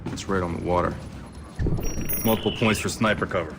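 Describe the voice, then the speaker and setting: Game cutscene lead: gruff middle-aged operative, very low voice, curt and in command. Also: male voice, fairly even intonation, fairly quick, music in the background. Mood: firm, resolute. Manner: terse, commanding. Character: gruff, middle-aged male covert operative team leader, hard and terse. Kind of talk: dramatic game cutscene acting.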